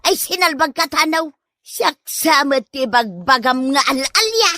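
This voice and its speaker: A bright, dry-sounding old Ilocano wife, acted by a woman, nagging and fretful with a sentimental streak. She speaks in exclamations, as melodramatic as comedy radio drama gets, with an Ilocano accent. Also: sits high, animated, a little slow, no echo, heavily acted. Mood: scolding.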